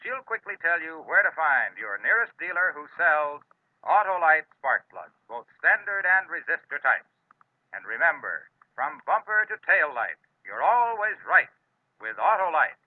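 A man's voice: on the high side, sing-song, unhurried, no room sound. A man reading a scripted radio commercial with an emphatic salesman's delivery in vintage style. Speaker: commercial announcer. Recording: thin, phone-like vintage radio recording.

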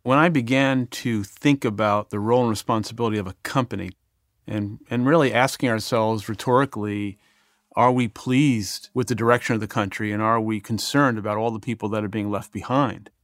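A man's voice: on the low side, steady tone, a little fast, dry recording, clean studio sound. A middle-aged man speaking earnestly as an interview guest, with some fillers. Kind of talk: reflective conversation.

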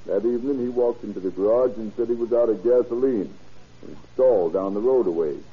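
A folksy courtroom witness with a low, husky voice. He is plain and respectful, in vintage radio acting with dulled sound. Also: fairly quick. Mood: plain, earnest. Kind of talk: old-time radio drama acting. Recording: dull vintage radio recording.

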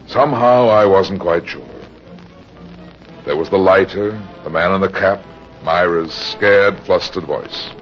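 An actor playing a weary, hard-boiled private detective, terse and grave, in the style of 1940s radio. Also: male voice, sits low, measured pace. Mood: brooding. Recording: dull old radio recording with music.

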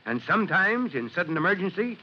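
Casting: friendly fire chief instructing youngsters, slightly high voice, dull vintage radio sound. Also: male voice, very animated, brisk, heavily acted. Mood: friendly, instructive. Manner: instructive, clear-spoken, addressing children. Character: fire chief giving friendly safety advice to children. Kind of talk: old-time radio public-service address. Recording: muffled old radio recording.

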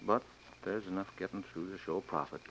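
An actor playing an earnest, worried, tender mine owner with an American accent in a radio western. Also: male voice, sits low, fairly quick, fairly bright tone. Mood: resolute, tender. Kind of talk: old-time radio western acting. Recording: muffled, noisy old radio recording.

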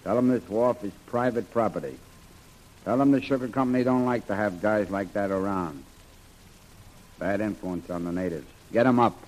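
An actor playing a stern, suspicious wharf boss who talks in curt commands in an old radio serial. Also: male voice, on the low side, normal speed, a little mellow, natural voice, rough recording. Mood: suspicious, commanding. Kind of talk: 1940s radio serial acting. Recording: old radio recording, faint noise.

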